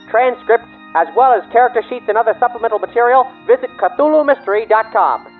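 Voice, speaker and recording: A man reading the show's outro credits and sign-off in a smooth, businesslike way. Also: extremely high pitch. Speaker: show announcer. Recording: muffled, dull sound.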